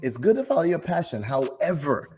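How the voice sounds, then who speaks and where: A man from Jamaica hosting a business podcast, talking in a lively, encouraging way and often restarting his sentences. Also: a little high, fairly quick, faint music behind. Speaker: podcast host. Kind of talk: casual interview.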